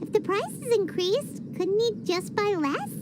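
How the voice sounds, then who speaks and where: A woman's voice: very high voice, sing-song, measured pace, noisy background, exaggerated voice. A woman voicing a small childlike fairy companion in a video game, chirpy, blunt and eager with questions. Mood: curious, surprised. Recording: music playing behind the voice.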